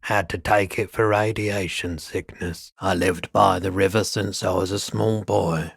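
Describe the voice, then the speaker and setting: A man playing a weary, gentle, eccentric middle-aged pawnshop keeper in a video game, with a laid-back, polite and musing manner. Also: low voice, steady tone, measured pace, vocal fry, slightly rough, dry recording, clean studio sound, heavily acted. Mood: laid-back, wistful. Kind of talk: video game character dialogue.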